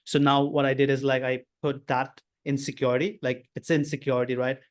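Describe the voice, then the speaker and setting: A man's voice: mid-range voice, little pitch movement, brisk. A man, asking a sales coach questions on a video call, searching for his words. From India. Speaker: coaching client.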